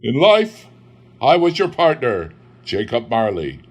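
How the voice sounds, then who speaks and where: Brief: male reader as a doomed old ghost for a storybook, booming and drawn out. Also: wildly swooping pitch, a little slow, husky, full character voice. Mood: solemn. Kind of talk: audiobook character voice.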